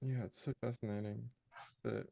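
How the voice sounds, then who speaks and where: Male interview guest, gravelly and close-sounding, casual, hedging as he thinks aloud. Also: mid-range voice, fairly even intonation, normal speed, dark tone, no echo. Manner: tentative, hedging, searching for words.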